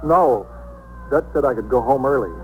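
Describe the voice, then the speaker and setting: A man playing a flustered, defensive bartender in a 1950s radio drama, speaking haltingly and nervously. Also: medium pitch, phone-quality sound, a little hiss or hum, lightly acted. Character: nervous, evasive bartender, flustered murder suspect. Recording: old 1950s radio broadcast recording.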